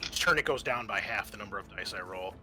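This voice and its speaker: A man acting as game master for a tabletop role-playing game, explaining the action and rules in a halting way. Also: on the low side, expressive, sharp tone.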